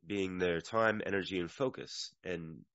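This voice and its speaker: Male panel speaker, deep and a little hoarse, chatty, halting delivery; lo-fi audio. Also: a bit bright, no echo. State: slightly hoarse from little sleep. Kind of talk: casual reflective talk. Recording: dull lo-fi audio.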